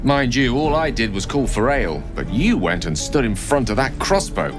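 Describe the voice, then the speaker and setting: An actor playing a courteous, genial adventurer in a video game, polite and eloquent. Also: male voice, mid-range voice, big pitch swings, normal speed, lightly acted. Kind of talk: video game character acting. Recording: music playing behind.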